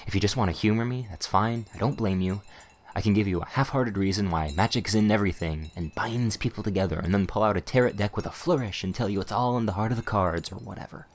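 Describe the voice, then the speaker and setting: Casting: male actor as humble, chatty witch shopkeeper for ASMR roleplay; lowish voice, flustered, stammering, keen to explain. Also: quick pace. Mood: earnest, wry.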